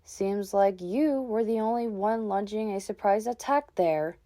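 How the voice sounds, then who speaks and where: A woman's voice: mid-range voice, a little slow, mellow, close to an everyday voice. A woman playing a young fox Pokemon in a comic dub, a sceptical, easily flustered trainee explorer with a dry, questioning manner. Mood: dry, snippy. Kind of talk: animated comic dub acting.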